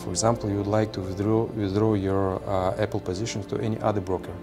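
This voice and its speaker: A man in his forties, a company representative answering interview questions in a measured way with many pauses. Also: lowish voice, level tone, over music.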